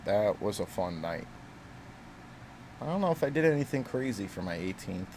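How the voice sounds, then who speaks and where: A man hosting a reaction video in easygoing, rambling casual chat, a bit raspy and stuffed up from allergies. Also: on the low side, very animated, moderate pace, darkish tone, a little hiss or hum, low-quality recording. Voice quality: slightly raspy. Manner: easygoing, rambling with frequent fillers.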